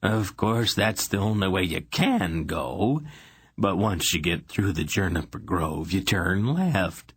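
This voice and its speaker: A man's voice: lowish voice, big pitch swings, slow, a bit bright, husky, dry recording, studio quality, exaggerated voice. A man voicing a belligerent, argumentative male miner who sneers and contradicts.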